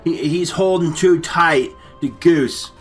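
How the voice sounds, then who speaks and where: A man's voice: fairly high pitch, slow pace, faint music behind. A man hosting a comic story time, retelling a movie in a rambling, stammering way with muddled names and asides. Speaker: story-time show host.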